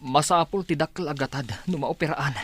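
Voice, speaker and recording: A man's voice: a little high, fairly quick, husky, pitched above the performer's own voice. A man playing an agitated older brother who is alarmed for his mother in a radio drama. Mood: worried.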